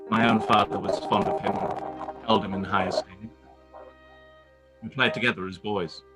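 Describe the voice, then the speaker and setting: Male actor voicing a stiff, proud young gentleman for a radio play reading; low, crisp and bright, soft music underneath. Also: low-quality recording. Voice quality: formal, measured, stiffly polite. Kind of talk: live-read radio play acting.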